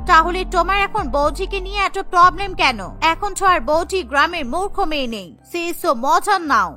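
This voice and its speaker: Woman playing an outspoken, very high-voiced cartoon daughter. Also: animated, fairly quick, sharp tone, soft background music, exaggerated voice. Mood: persuasive. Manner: earnest, insistent. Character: outspoken, modern young daughter defending her sister-in-law. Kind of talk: cartoon character acting.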